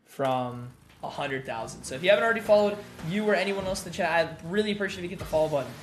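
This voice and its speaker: A young male streamer with a somewhat high voice talks in a loose, relaxed way, full of fillers and easy asides to his chat. A faint hiss sits under his voice from the home setup. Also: racing. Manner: laid-back, filler-heavy, reads chat aloud. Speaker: gaming streamer. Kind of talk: casual live-stream chat.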